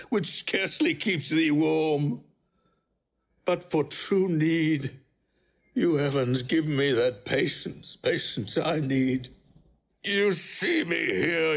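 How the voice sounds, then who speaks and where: A man's voice: a little high, vocal fry, heavily acted. An actor playing a wretched, proud old king and father in a declamatory Shakespearean audio drama. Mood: anguished. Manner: declamatory, drawn-out delivery.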